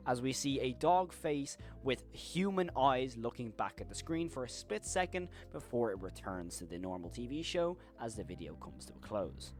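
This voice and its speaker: Man narrating horror video commentary. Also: medium pitch. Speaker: YouTube horror commentator and host. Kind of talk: video essay commentary, recapping episodes.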